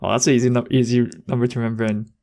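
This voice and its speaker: Young man with a creaky voice, chatting. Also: mid-range voice, level tone, rapid-fire, mellow. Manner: informal, rambling. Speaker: podcast co-host. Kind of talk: casual chat, anecdotes with slang.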